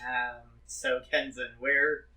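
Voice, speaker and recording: A man's voice: mid-range voice, little pitch movement, slow, sharp tone, roomy sound. A man talking casually as he plays a tabletop roleplaying game. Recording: distant mic with faint noise.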